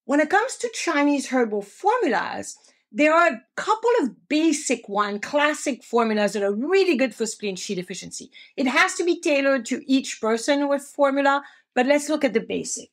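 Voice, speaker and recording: A woman's voice: high-pitched, lively intonation, normal speed. A middle-aged woman with a French accent, hosting a podcast and explaining things simply and casually, often checking in with the listener. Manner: friendly, explains simply, asks listeners "make sense?". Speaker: podcast host and acupuncture teacher. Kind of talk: casual teaching monologue.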